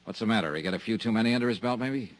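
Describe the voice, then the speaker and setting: Wry, low-voiced man acting an American radio detective. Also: racing, lightly acted, rough recording. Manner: wry, sardonic, casual slangy talk. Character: wry, tough American male insurance investigator. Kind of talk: old time radio detective drama acting.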